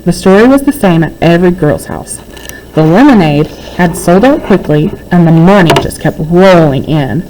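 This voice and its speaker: Female storybook narrator, mellow and slightly low, reading to children over faint background noise. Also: lively intonation, moderate pace, low-quality recording. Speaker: read-aloud reader.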